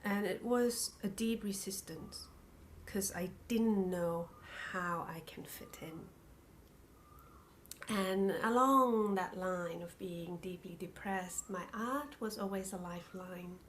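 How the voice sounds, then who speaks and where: A middle-aged woman from Thailand and New Zealand, telling her life story as an interview guest in a halting, reflective, breathy way on a video call. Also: medium pitch. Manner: halting, reflective, phrases broken by pauses. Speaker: interview guest, spiritual practitioner. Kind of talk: personal life story in conversation. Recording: video call with faint background noise.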